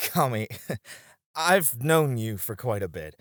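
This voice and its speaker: A man's voice: mid-range voice, very animated, slow, fairly bright tone, dry recording, studio quality. A man playing a friendly, easily flustered bartender and playful flirt in an ASMR roleplay, prone to stammering. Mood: concerned.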